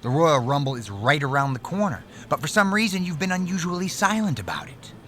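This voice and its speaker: An actor playing a confident, scheming veteran wrestler in a video game cutscene, persuasive and conspiratorial, sometimes dropping to a near whisper. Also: male voice, a little high, sing-song, fairly quick, bright, forceful tone, slight background noise. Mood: demanding.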